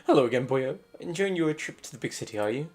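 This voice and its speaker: A man's voice: mid-range voice, lively intonation, quick pace, darkish tone, soft background music, natural voice. A man putting on a Welsh accent to voice a teasing local who keeps calling a boy "boyo", in a sing-song, knowing way.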